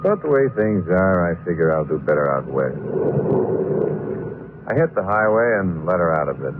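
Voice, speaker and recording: Young working-man narrator: deep, terse, matter-of-fact, old radio drama with clear hiss and narrow sound. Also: very animated, moderate pace. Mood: wry, matter-of-fact. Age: 20s. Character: plain-spoken 29-year-old male driver, gas station owner. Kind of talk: 1940s radio drama first-person narration. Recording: noisy, tinny old radio recording.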